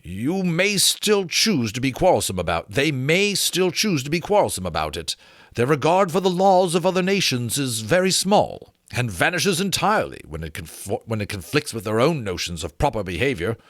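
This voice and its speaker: A man performs a learned, fretful English gentleman from a storybook. He is courtly and formal, with very lively, swooping pitch and emphatic phrasing, captured close and dry. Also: normal speed, dry recording. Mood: worried. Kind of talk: dramatic audiobook reading.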